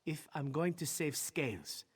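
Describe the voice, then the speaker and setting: An actor playing a blunt, self-possessed vampire in a video game, speaking in a husky, ominous way. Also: male voice, a little high, lively intonation, unhurried. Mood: guarded, commanding. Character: guarded, self-possessed male vampire, curt and grimly urgent.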